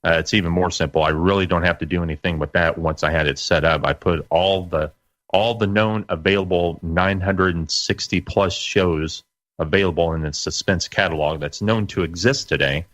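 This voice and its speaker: A middle-aged man explaining things step by step as an interview guest, in a measured, halting way. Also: low voice, fairly even intonation, a bit bright, dry recording.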